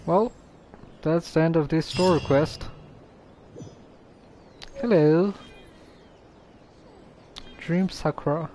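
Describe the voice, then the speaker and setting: Casual streamer, sex ambiguous: slow delivery, dark soft tone, low-key reactions to a game. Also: slight background noise. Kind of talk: casual live stream commentary.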